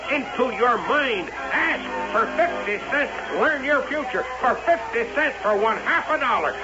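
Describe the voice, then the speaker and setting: An actor playing an excitable, fast-talking carnival showman with a theatrical barker's delivery in an old-time radio drama. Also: extremely high pitch, over loud music. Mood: grand spieling. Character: excitable, fast-talking carnival showman obsessed with his fortune-telling dummy. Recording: muffled, hissy old radio broadcast.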